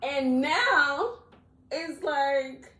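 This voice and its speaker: A woman from Liberia co-hosting a casual chat. She is animated, laughs often and repeats words as she starts her sentences. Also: sits high, very slow, reverberant, a crowd in the background. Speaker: co-host.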